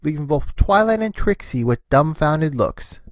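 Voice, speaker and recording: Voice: medium pitch, expressive, a little slow, no room sound, studio quality. A narrator reading a scripted story between the characters' lines.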